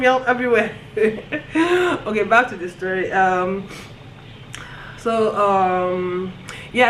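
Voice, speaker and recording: A woman with a Nigerian accent telling a story on her vlog in an animated way, often breaking into laughter. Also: mid-range voice, a little hiss or hum. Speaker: vlogger. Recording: roomy echo, faint ambience.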